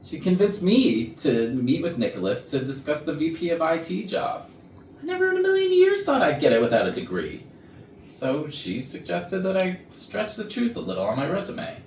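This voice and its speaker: A man's voice: fairly high pitch, sing-song, normal speed, a little mellow. A man playing a defensive, blustering office executive, indignant and self-justifying, in a staged murder mystery comedy. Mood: pleading, explaining. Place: rehearsal room for a staged reading. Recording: echoey room, distant mic, background noise.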